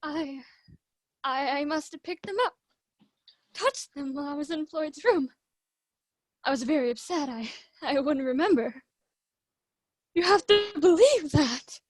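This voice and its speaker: High-pitched distraught accused young woman played by a woman. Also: animated, dry recording. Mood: shaken, pleading. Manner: halting, desperate, pleading. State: upset, near tears. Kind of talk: radio play courtroom acting.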